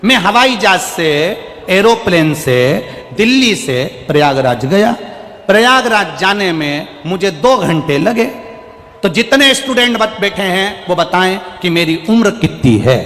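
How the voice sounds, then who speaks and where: A man doing a loud, gleeful schoolteacher. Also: high-pitched, unhurried, pitched above the performer's own voice. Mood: proud. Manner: loud, insistent, excited questioning. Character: boastful delighted male schoolteacher. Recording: echoey hall, faint music.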